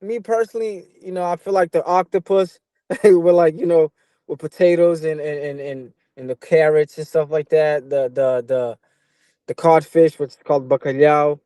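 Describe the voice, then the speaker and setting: A young male boxer from Portugal, talking as a guest in a casual interview. His voice is relaxed and a bit high for a man, recorded close and dry. He talks haltingly, circling back on phrases with plenty of "you know". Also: no room sound. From Portugal, moved to the US at twelve. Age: young adult.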